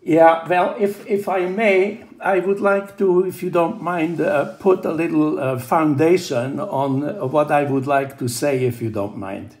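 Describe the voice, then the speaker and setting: An older man with a Swiss accent, a scientist guest speaking haltingly over the phone. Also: on the high side, a little mellow. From Switzerland. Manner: halting, restarts often. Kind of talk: phone interview. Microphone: telephone.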